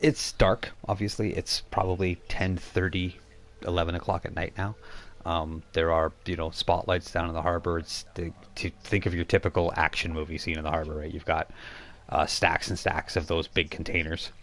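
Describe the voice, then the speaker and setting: Low-voiced man running a tabletop game. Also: little pitch movement, a bit bright. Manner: halting, informal, explanatory. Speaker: game master. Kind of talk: improvised narration of a tabletop role-playing game.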